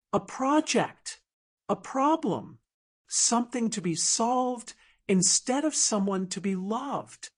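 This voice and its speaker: A woman playing a wounded, regretful woman in an audio drama, confessing in an earnest, halting, emotional way. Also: mid-range voice. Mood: pained, fearful. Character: wounded, regretful woman confessing her fears to a lost love.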